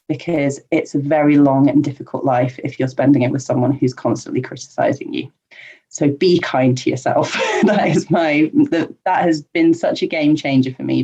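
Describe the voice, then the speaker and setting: A woman's voice: extremely low pitch, mellow. A woman being interviewed on a podcast as a guest, answering in an earnest, chatty way with ums and light laughs. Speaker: podcast guest, life coach. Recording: dull lo-fi remote audio.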